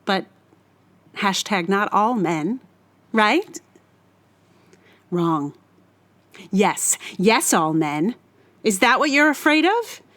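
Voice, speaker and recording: A woman performing a scripted dramatic monologue in a deliberate, theatrical and menacing manner. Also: fairly high pitch, a bit bright. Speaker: writer reading own monologue.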